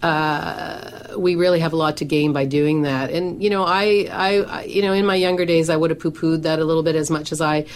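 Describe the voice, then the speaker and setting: A woman's voice: deep voice, fairly even intonation, a little fast, hardly any pauses. A middle-aged woman, a professional organizer and coach, talking on a radio show with a big voice that carries and lots of "you know" fillers. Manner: says she has a big voice that carries; conversational, many fillers and restarts.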